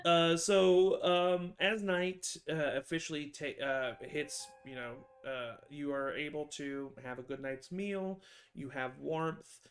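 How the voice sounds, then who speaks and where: A man's voice: fairly high pitch, steady tone. A young man narrating a tabletop game as game master, hesitant and full of fillers. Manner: halting, many fillers and restarts.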